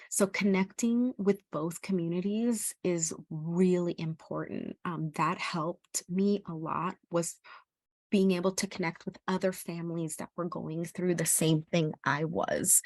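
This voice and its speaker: A woman's voice: fairly low pitch, a little mellow, no echo, clean studio sound. A middle-aged woman from El Salvador giving an informal educational presentation as a parent, speaking in a hesitant, earnest way.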